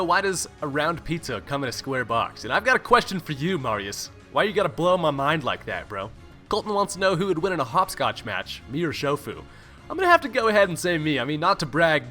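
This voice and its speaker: A man's voice: on the high side, pushed and bright. A young man from California, a YouTuber reading out viewer questions and answering them in a joking, laid-back way, swearing casually. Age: young adult. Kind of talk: casual Q&A voice-over. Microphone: home recording mic, no webcam.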